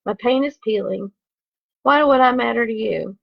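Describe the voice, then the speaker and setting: A woman's voice: a little high, normal speed, gravelly, no room sound, lo-fi sound. A woman voicing a timid small male wooden puppet who speaks in an unsure, pleading way.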